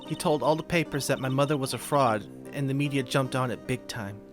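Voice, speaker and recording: A man voicing a forlorn, soft-spoken young orphaned woman who is a spirit medium, halting and earnest, in an amateur game voice-over. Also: mid-range voice, music in the background, close to an everyday voice. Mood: somber.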